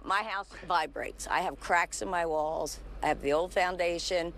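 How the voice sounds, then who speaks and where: A woman's voice: lowish voice, moderate pace, fairly bright tone, a little hiss or hum. An older woman giving a news interview outdoors at night, speaking plainly and wearily about her troubles. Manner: plain-spoken, weary complaint. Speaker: resident interviewee.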